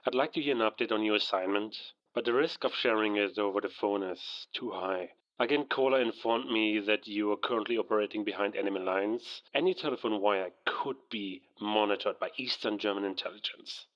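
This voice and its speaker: An actor playing a stern, skeptical West German intelligence agent, formal and condescending, over a telephone in an audio drama. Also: male voice, fairly low pitch, brisk, few pauses, a bit bright. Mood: formal, cautious. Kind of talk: cinematic audio roleplay acting. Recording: dull, band-limited telephone call.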